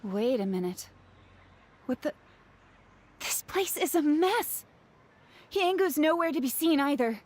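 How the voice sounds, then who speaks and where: A woman voicing an energetic young woman who guides others and calls out urgently. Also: high-pitched, measured pace, pauses often, slight background noise. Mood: puzzled. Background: game music.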